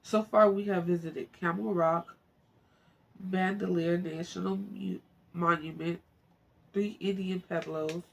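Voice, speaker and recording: A woman's voice: on the low side, fairly even intonation, slow, creaky, low-quality recording. A woman reading a book aloud informally, stumbling over words and breaking off to muse to herself in chatty asides. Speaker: amateur book narrator. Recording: faint background noise.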